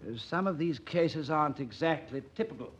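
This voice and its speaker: An actor playing a cynical, hard-drinking, witty doctor in a vintage radio play, with a breezy, ironic, theatrical delivery. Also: male voice, fairly high pitch, lively intonation, normal speed, husky. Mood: breezy, sardonic. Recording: dull old radio broadcast recording.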